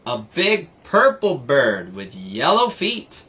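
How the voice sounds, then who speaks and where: A man voicing an excitable young boy bear cub in an eager, exclaiming way, for a children's storybook. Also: medium pitch, slow, husky, exaggerated voice. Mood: excited. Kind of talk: children's storybook read-aloud.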